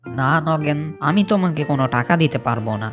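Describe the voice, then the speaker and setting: Woman voicing a low-pitched, stingy timber boss. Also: lively intonation, a little fast, faint music behind. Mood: suspicious, refusing.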